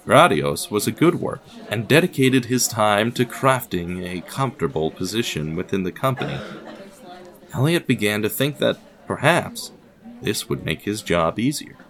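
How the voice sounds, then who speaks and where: A man's voice: fairly low pitch, sing-song, moderate pace, a bit bright. A man reading scripted narration as the narrator of an audio production.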